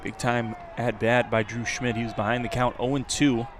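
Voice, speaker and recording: A man's voice: medium pitch, flat delivery, moderate pace. A man calling a baseball game live as a radio play-by-play announcer. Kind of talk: live radio sports commentary. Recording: outdoor ballpark crowd and ambient noise. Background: ballpark crowd, outdoor noise.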